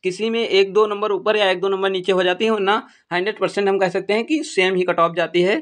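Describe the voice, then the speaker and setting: A man's voice: high-pitched, steady tone, fairly quick, fairly bright tone. A man teaching in an informal explainer style, repeating points for emphasis. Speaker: exam-prep YouTube teacher. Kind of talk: informal explainer addressing students.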